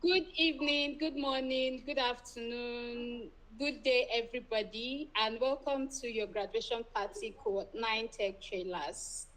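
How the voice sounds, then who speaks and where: A Nigerian woman with a fairly high voice co-hosts an online event. Her delivery is informal and playful, with animated turns of phrase. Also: a little slow, few pauses, low-quality recording. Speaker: event co-host.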